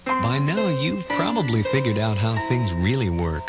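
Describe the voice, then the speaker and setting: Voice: medium pitch, big pitch swings, normal speed. A commercial narrator reading a scripted advertisement. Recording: loud guitar music underneath.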